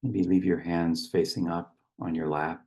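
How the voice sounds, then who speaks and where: Very deep, gravelly man guiding meditation. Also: little pitch movement, darkish tone. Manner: slow, measured phrasing with long pauses. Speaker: meditation guide reading poetry. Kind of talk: poetry recitation and guided meditation.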